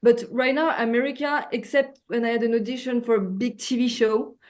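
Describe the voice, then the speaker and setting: A woman from France talks in English with a clear French accent, her voice sitting a little high. She is a guest actress on a video call, groping for words with many fillers and restarts. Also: fairly even intonation, a little fast. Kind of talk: casual interview over video call.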